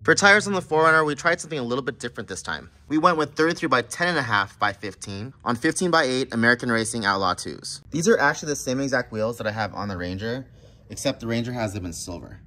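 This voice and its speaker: Guy in his 30s walking you thru truck work, easygoing, mid pitch, sounds like his garage. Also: fast talker. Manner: relaxed, plainspoken explaining. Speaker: garage channel host. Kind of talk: how-to voice-over narration.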